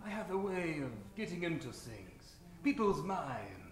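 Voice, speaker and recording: A man's voice: fairly high pitch, sing-song, a little slow. A man playing a sly, grandiose villainous hypnotist in an old-time radio comedy, with pompous, florid speech. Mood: sly, sinister. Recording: roomy, distant stage mic, loud music.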